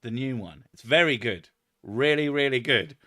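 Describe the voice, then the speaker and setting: A man hosting a casual chat, loud and booming, swearing freely as he answers viewer comments. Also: moderate pace, dry recording, clean studio sound. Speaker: channel host.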